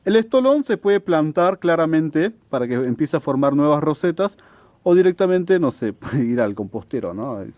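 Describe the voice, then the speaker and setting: A man talks in an unhurried, chatty way, checking in with his listeners as he goes. His voice sits a little high. The recording is dry and slightly muffled. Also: very animated, dry recording. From Salta, Argentina. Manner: chatty, unhurried, frequent "¿no?" asides. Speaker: succulent grower, channel host. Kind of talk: casual show-and-tell.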